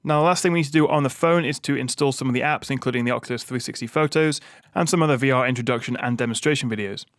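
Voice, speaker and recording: A man's voice: medium pitch, very fast, no room sound. A young British man hosting a tech channel, talking through a product setup in a chatty way, with frequent "uh" fillers.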